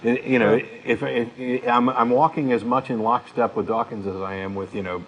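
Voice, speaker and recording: A man's voice: mid-range voice, fairly even intonation, moderate pace, fairly bright tone, muffled recording. A man co-hosting a talk show, giving blunt opinions in casual, unscripted discussion.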